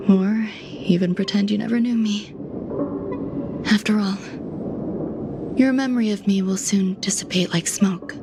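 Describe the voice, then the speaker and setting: A woman playing an aloof, enigmatic genius scientist in a video game, speaking in a measured, formal, softly breathy way. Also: mid-range voice, background noise, lightly acted. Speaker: game character voice actor. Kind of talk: scripted game dialogue, slow reflective monologue.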